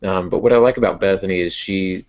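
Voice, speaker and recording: Low-voiced man talking casually on a podcast. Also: quick pace. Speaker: podcast host and novelist. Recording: dull, muffled lo-fi audio.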